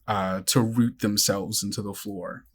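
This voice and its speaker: A man narrating a tabletop roleplaying game as game master, improvising descriptions in a halting, conversational way. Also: on the low side, steady tone, husky.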